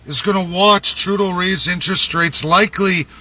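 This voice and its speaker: Male political vlogger, Canadian accent, high forceful voice, emphatic and indignant, unscripted, swears freely. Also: steady tone, normal speed. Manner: blunt, indignant, emphatic, profane. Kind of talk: unscripted political rant to camera. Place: laundromat.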